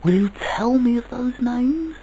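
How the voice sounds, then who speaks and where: A storyteller puts on an eager little girl in a storybook, high-pitched and full of wonder. Her questions swoop about, plaintive and insistent, on a slightly muffled recording. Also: animated, a little slow, warm tone. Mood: curious. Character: eager, wondering young dream child, a girl.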